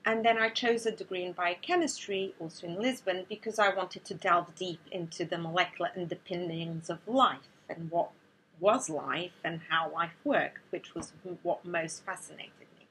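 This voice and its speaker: A woman from Portugal, giving a measured, explanatory talk to students as a neuroscientist. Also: fairly low pitch, steady tone, a bit bright, slight background noise, rough recording. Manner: measured, explanatory, with a few restarts.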